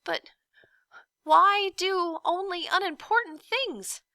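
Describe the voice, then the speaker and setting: A woman voicing a polite, hesitant young boy in a storybook, with a soft, tentative manner. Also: extremely high pitch, takes it slowly, no room sound, voice pushed up, heavily acted. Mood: puzzled.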